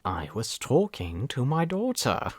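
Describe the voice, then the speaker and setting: A woman voicing a dry, teasing parent creature in an animated drama. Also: extremely low pitch, measured pace, very noisy, heavily acted. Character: deep-voiced adult cartoon parent creature, dry, teasing. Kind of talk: animated audio drama acting.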